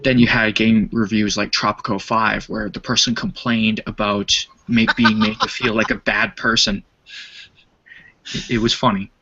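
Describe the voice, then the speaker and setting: A low-pitched man speaks in a flat, level tone with a bright but husky voice. He lays out his views in a measured way over a call whose audio sounds dull and lo-fi. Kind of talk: casual online voice chat. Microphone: online voice call.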